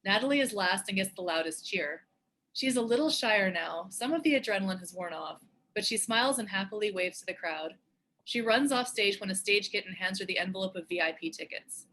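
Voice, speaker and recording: Bright-voiced woman narrating a script. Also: medium pitch, animated, brisk, low-quality recording. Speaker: narrator reading stage directions.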